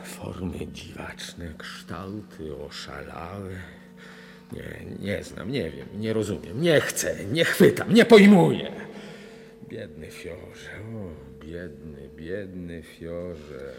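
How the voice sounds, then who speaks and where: An actor playing a distraught, bewildered gentleman in a radio drama, declaiming and questioning theatrically. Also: sits low, expressive, slow pace, vocal fry, noisy background, heavily acted. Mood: anguished. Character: distraught master fashion designer lost in revolution. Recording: 1980 radio play with music behind.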